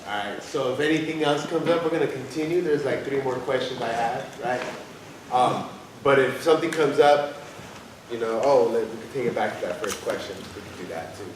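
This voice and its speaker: A man moderating an informal community discussion, speaking haltingly as he searches for words. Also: medium pitch. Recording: very echoey, distant, with faint noise.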